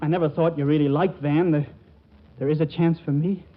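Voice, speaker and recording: A man's voice: on the high side, fairly even intonation, a little fast, lightly acted. An actor playing a nervous, evasive young man who stammers and wisecracks dryly in a 1940s radio comedy. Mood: earnest, hesitant. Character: nervous, evasive young suitor bound to honesty. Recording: muffled 1940s radio broadcast.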